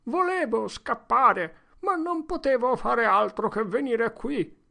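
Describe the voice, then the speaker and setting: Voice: sits high, lively intonation, a little slow, creaky, sharp tone, pitched above the performer's own voice, exaggerated voice. An audiobook narrator voicing a frightened accused man who sobs and pleads that he is innocent. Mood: pleading, sobbing. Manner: tearful, pleading, broken. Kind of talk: audiobook character voice.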